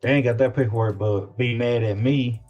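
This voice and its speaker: A man's voice: mid-range voice, fairly even intonation, moderate pace, soft background music. A man from Louisiana with a Southern US accent, chatting casually as an interview guest, slangy and repeating himself for emphasis. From Louisiana, United States. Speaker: guest, independent music artist. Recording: dull, lo-fi sound.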